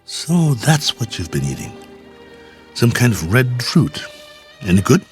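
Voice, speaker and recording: An actor playing a stranded space traveler, weary but hopeful, halting and musing in a radio drama. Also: male voice, medium pitch, very animated, faint music behind. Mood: gentle, hopeful. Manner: halting, musing, talks to himself.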